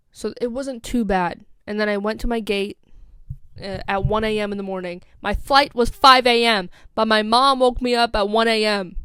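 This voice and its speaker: A woman's voice: medium pitch, a bit bright, smooth, no room sound. A young woman with an American accent telling a casual, rambling story as a vlogger, full of "like" and "um". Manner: rambling, scatterbrained, lots of "like" and "um".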